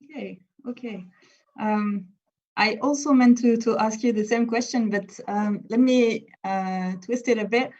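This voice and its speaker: A woman's voice: on the high side, measured pace, darkish tone. Hesitant woman moderator, lo-fi sound. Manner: hesitant, searching for words. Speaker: host. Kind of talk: moderating a live panel. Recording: dull lo-fi audio.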